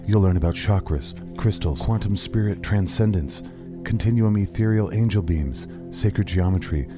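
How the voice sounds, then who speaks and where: Male performer as self-important New Age guru, very low voice, parody infomercial sell over clear music. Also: moderate pace, natural voice. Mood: smug salesmanship. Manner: smooth-selling, self-important, jargon-heavy. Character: pompous self-help guru selling dubious spiritual camps. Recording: music behind the voice.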